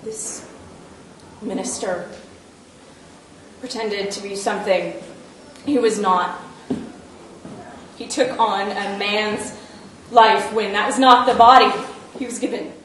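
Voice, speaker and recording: An actress playing a rigid, devout and questioning grandchild in a staged play reading. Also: female voice, medium pitch, steady tone, takes it slowly, pauses often, fairly bright tone. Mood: stern, testifying. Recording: very echoey stage, distant mic, noisy.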